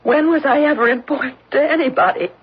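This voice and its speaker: A woman playing a lonely, fearful, persistently pleading wife in a radio drama, with a husky voice. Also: high-pitched, fast talker, close to an everyday voice. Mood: bitter, wounded. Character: lonely, fearful, wounded wife of an overbearing businessman. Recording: dull lo-fi radio broadcast recording.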